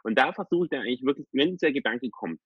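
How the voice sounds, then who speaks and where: A man co-hosting a podcast, telling personal stories casually in a halting, self-reflective way. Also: medium pitch, lively intonation, slightly rough, no echo. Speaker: podcast co-host. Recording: dull, slightly lo-fi dry audio.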